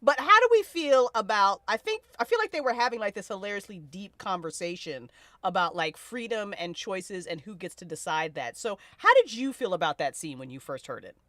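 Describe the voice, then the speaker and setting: Lively woman hosting a casual chat. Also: mid-range voice, brisk, no echo. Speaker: host moderating a podcast listen event. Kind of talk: casual chat, interviewing panelists.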